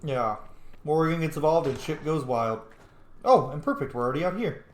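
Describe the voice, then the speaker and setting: A man's voice: a little high, animated, a little fast. A man giving casual, enthusiastic, informal commentary as he plays through a game. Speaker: gaming host doing a roleplay playthrough. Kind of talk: casual play-by-play commentary with fan lore asides. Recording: echoey room.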